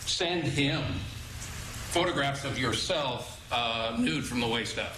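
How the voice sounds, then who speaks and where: A man's voice: mid-range voice, takes it slowly. Confrontational American male attorney in echoey room. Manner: pointed, confrontational questioning. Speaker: defense attorney. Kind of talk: courtroom cross-examination. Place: courtroom. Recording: echoey, noisy courtroom audio.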